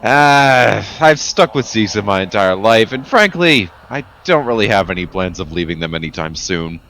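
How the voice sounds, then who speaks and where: A cynical, foul-mouthed blue-collar worker acted by a man in a sci-fi tabletop game. The voice sits low and grumbles along with sardonic indifference. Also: expressive, moderate pace, a bit bright. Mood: dismissive.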